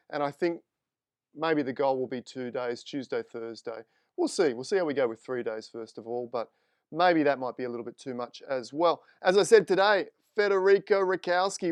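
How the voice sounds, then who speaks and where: Aussie guy hosting a live stream, chatty and upbeat, slightly high voice, smooth and dry. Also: moderate pace, no echo. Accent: Australian. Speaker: host. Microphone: desk microphone.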